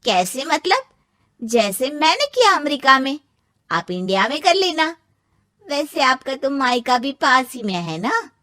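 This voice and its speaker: A woman voicing a confident young sister-in-law with a needling manner in an animated moral story. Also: high-pitched, a little slow, dry recording, studio quality, heavily acted. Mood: pointed.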